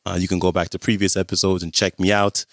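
A hoarse man hosting a podcast, chatty and relaxed as he introduces the episode. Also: deep voice, fast talker, no echo, studio quality. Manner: relaxed, chatty, friendly greetings. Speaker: podcast host.

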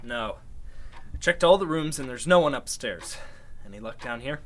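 An actor playing a wary man in an old-style radio mystery, speaking in a measured, theatrical way. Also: mid-range voice, expressive, fairly bright tone, lightly acted. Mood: cautious. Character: Alan, wary man helping search a mystery house. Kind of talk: Golden Age style radio drama acting.

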